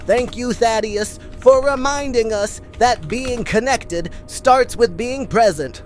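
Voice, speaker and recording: A woman's voice: a little high, slow pace, darkish tone, soft background music. A woman voicing an easygoing, wry puppet host of a children's show.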